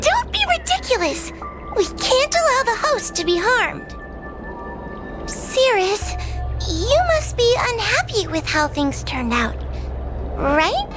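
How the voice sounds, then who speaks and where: A tiny-sounding fox girl from a video game, pitched extremely high. The delivery is cutesy and earnest, swinging widely in melody, with music playing behind. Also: big pitch swings, slow, pauses often, exaggerated voice. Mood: firm. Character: young fox girl judge, earnest, cunning negotiator. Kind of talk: video game character acting.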